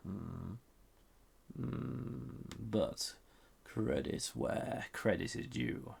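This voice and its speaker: Man voicing a very gravelly, flirty villain. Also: fairly low pitch, darkish tone, exaggerated voice. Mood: smug, flirtatious. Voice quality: husky, gravelly. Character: flirty, dangerous banished male villain, condescending toward humans. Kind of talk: roleplay audio drama monologue.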